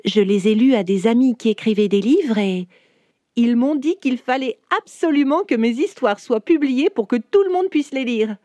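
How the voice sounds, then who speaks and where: A woman voicing a 19th-century woman writer who tells her own life story to children in a chatty, warm way, speaking directly to the listener. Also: a little high, lively intonation, moderate pace, dry recording, natural voice. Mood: lively. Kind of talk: first-person storytelling for children.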